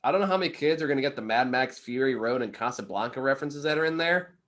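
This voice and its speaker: Guy doing a casual review chat, medium pitch, kinda wry n rambly. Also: expressive, fast talker, a bit bright. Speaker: movie reviewer, co-host.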